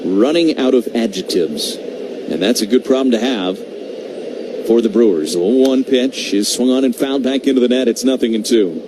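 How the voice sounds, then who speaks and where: A man doing live baseball play-by-play on radio, talking in measured short phrases with conversational asides. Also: medium pitch. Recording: clearly noisy live radio broadcast.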